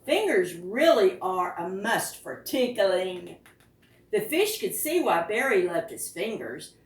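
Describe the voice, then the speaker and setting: A woman reading picture books aloud to preschoolers as a storytime host, with expressive, deliberate phrasing and questions to the children. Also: mid-range voice. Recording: roomy, echoing, distant microphone.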